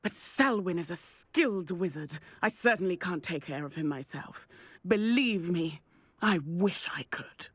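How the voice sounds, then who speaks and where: An actress playing an indignant, fretful village shopkeeper in a video game, speaking in a formal, emphatic way. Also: female voice, on the low side, animated, unhurried, breathy edge, dry recording. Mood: indignant, exasperated. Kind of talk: video game cutscene acting.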